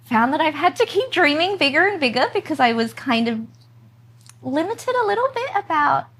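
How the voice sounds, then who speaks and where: A woman's voice: high voice, very animated, moderate pace, a bit bright. Upbeat woman. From born in China, raised in Australia. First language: Mandarin. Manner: upbeat, laughs often, dreamy and enthusiastic. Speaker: podcast guest, content creator. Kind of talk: casual interview conversation.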